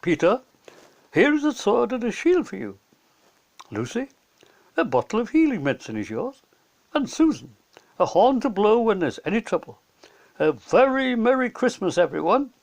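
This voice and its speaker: A jolly storybook Father Christmas, acted out by a man. He is kindly and hearty, his pitch rising and swooping as he goes. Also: sing-song, moderate pace, creaky, pauses often, heavily acted.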